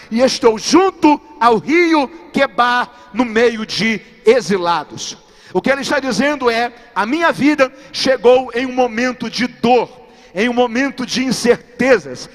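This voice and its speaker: A man with a strikingly high voice delivers a sermon, counting off his points and calling out questions to the congregation. His voice rings through a large church sanctuary with a long, trailing reverb, as heard on a live church stream. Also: a bit bright. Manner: preaching emphatically with repeated rhetorical questions to the congregation. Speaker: pastor.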